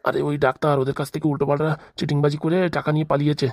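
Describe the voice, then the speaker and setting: Woman voicing a low, fast-talking worried father. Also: little pitch movement, no echo, studio quality. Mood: troubled. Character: worried middle-aged father and future father-in-law, chatty, caring. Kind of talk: comedy cartoon dubbing.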